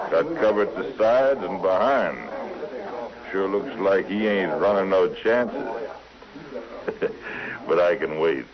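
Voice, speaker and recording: An actor playing a sly, menacing wanted gunslinger in a radio western, with mocking, folksy frontier talk. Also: male voice, low voice, full character voice. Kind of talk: old-time radio western acting. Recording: muffled old radio recording.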